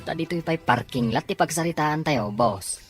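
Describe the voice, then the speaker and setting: A woman's voice: low voice, lively intonation, normal speed, over music. A woman playing an eager, money-minded underling in an Ilocano audio drama, with a Filipino accent. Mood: eager, curious.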